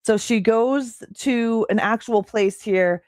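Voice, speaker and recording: A woman's voice: a little high, takes it slowly, dry recording, studio quality. Chatty streamer woman, slightly strained voice. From Canada. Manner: excitable, chatty, many fillers. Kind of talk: casual live-stream chat reading chat.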